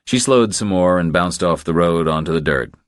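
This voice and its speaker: Really deep guy reading a novel, measured storytelling, totally dry recording. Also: dry recording. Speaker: audiobook narrator. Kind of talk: scripted audiobook narration.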